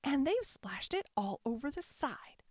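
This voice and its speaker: A woman's voice: high voice, big pitch swings, unhurried, a little mellow, no room sound, studio quality, voice pushed up. A woman voicing a middle-sized mother bear in a storybook tale, fussy and complaining in a singsong way. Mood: put out.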